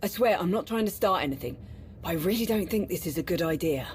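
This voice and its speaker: An actress voicing a frank, worried military major in a video game, earnest and plain-spoken. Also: female voice, on the low side, lively intonation, fast, slight background noise, natural voice. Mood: worried, pleading.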